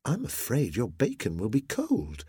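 A man voicing a gentle, affectionate sailor's wife in an audiobook, with a soft, genteel and fond manner. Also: medium pitch, huge pitch swings, measured pace, husky, dry recording, clean studio sound, exaggerated voice. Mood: fond, mild.

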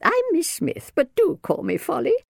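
A woman's voice: extremely high pitch, huge pitch swings, moderate pace, gravelly, darkish tone, dry recording, studio quality, pitched above the performer's own voice, exaggerated voice. A woman voicing a flustered, friendly elderly great-aunt in a storybook, with a hesitant, fluttery way of talking.

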